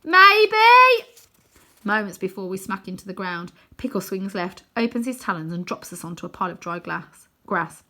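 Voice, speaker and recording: A woman reading a children's novel aloud as expressive narration, with dramatic, animated emphasis. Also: lowish voice, a little fast, hardly any pauses.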